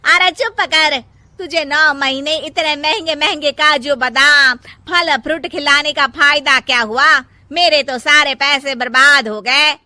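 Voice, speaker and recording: Woman as older mother-in-law, high-pitched, forceful, scolding, melodramatic, cartoon moral story. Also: a little slow, no room sound, exaggerated voice. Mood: angry. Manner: scolding, dramatic wailing and complaining. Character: nagging, melodramatic older mother-in-law obsessed with having a grandson.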